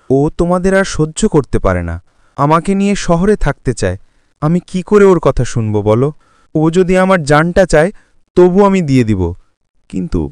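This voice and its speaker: Earnest young husband, very animated man acting. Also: a little high, clear, resonant tone, dry recording, clean studio sound. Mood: sad, reassuring. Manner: earnest, emphatic pleading. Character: devoted, earnest young husband and dutiful son. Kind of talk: dramatized cartoon voice acting.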